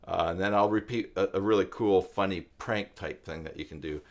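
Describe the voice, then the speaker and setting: A man hosting an informal live stream tutorial, explaining things step by step in a halting way as he thinks aloud. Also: sits low. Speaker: live stream host and web tutorial presenter. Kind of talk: informal unscripted tutorial talk to viewers.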